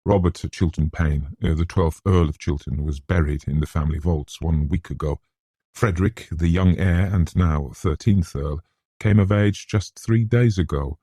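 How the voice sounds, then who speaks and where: A man's voice: low voice, normal speed, warm tone, slightly rough, no room sound, clean studio sound. A man voicing a troubled, reticent castle factor of about seventy in a gothic audiobook, British-accented, hesitant and deadly serious. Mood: grave, urgent. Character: grim, troubled seventy-year-old castle factor, reticent and weather-worn.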